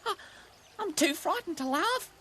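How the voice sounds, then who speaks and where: A woman's voice: extremely high pitch, animated, a little fast, fairly bright tone, pitched above the performer's own voice. A woman voicing a frightened, shuddering little kookaburra in a children's story. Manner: fearful, shuddering. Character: Cookie, frightened kookaburra, jittery small bird.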